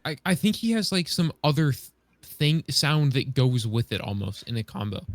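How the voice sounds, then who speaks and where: A man's voice: medium pitch, big pitch swings, moderate pace, no room sound. A young man in his late teens co-hosting a podcast with casual, slangy banter. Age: teens, about 17. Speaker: podcast co-host.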